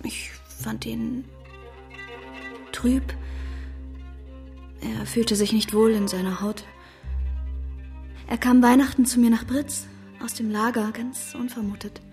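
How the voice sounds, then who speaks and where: An actress playing a tender, troubled young woman in a radio drama, breathy and hushed with a hesitant, earnest manner. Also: on the high side, steady tone, slow pace, pauses often, soft background music. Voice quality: breathy, often near whisper. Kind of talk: literary radio drama acting.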